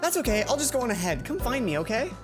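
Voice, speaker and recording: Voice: medium pitch, very animated, quick pace, music in the background, voice pushed up, natural voice. Someone putting on Mai, a chatty, dramatic schoolgirl. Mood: chatty, pushy.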